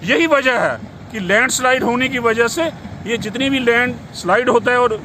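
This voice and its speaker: A man's voice: very high-pitched, moderate pace, pushed and bright. A man making an impromptu, insistent political complaint as a former legislator, repeating and listing his points. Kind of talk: impromptu outdoor political statement to camera. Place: outdoors beside a road. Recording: clear outdoor noise with passing vehicles.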